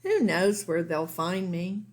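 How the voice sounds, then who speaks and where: A woman's voice: on the low side, sing-song, slow pace. A woman voicing a bossy, jealous little girl mouse in a children's storybook, with an emphatic, theatrical delivery. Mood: defiant, dramatic. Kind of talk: read-aloud children's storybook.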